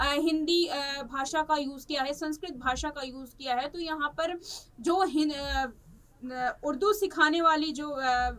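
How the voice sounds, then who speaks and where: A woman presenting news commentary in a halting, repetitive way, stretching words as she searches for the next. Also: high voice, a bit bright. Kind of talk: unscripted news commentary on a celebrity case. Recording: distant microphone.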